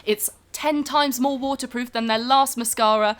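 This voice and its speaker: A woman plays an overworked celebrity actress in a comedy interview. Her voice sits fairly high, with a hesitant, guarded politeness that strains toward frantic exasperation. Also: steady tone, moderate pace, a bit bright, lightly acted. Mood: polite, promotional. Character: pampered, overworked film star who cracks under press tour. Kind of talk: improvised-sounding comedy podcast interview acting.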